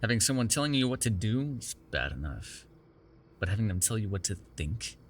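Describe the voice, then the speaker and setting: A man's voice: on the low side, sing-song, brisk, a little hiss or hum. A man playing a chatty, defensive vampire captor in an intimate ASMR roleplay, rambling casually with plenty of swearing. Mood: wry, confiding. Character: chatty, defensive, self-deprecating male vampire captor with a soft spot.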